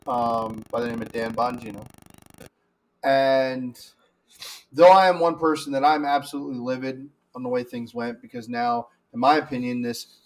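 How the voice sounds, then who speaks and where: A man's voice: mid-range voice, poor audio. Rambling male podcast co-host. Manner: rambling, frequent restarts. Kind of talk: casual political chat.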